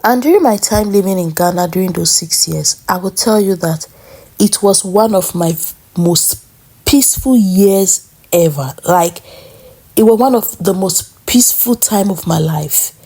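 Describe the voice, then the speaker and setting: A woman's voice: mid-range voice, unhurried, warm tone, husky. A young woman from Nigeria hosting a casual commentary vlog, talking to her viewers in a chatty way. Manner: chatty, addresses viewers as guys. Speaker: vlog host.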